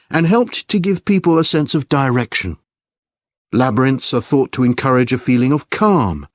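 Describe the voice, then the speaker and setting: A man delivering a scripted academic lecture in a measured, explanatory manner. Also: medium pitch, no echo, studio quality. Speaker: lecturer in a listening test.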